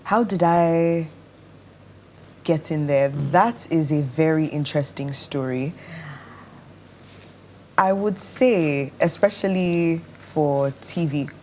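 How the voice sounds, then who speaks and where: Slow, deep-voiced Nigerian woman. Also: a little mellow, a little hiss or hum. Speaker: interview guest, stage and screen actress. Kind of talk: conversational interview answers.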